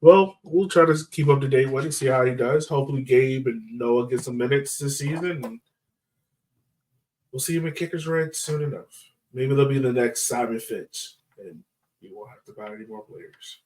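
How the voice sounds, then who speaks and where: A man's voice: medium pitch, normal speed, a little mellow, low-quality recording. A man hosting a casual sports podcast, talking in a chatty, informal way.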